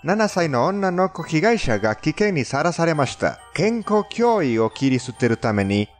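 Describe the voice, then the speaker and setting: Male narrator, non-native accent, lively and melodic intonation, formal scripted storytelling with stilted phrasing, music underneath. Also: a little high, normal speed. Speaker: narrator of a fandubbed countdown video.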